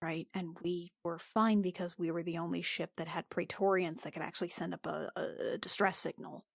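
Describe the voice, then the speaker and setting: A woman playing a tabletop game, talking in halting, restarting phrases, half in character and half as herself. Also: lowish voice, fairly even intonation, creaky, no echo, clean studio sound. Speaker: player.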